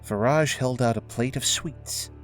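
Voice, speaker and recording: A man's voice: mid-range voice, a little slow. A man reading scripted narration for an audio drama, in a storytelling style. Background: faint music.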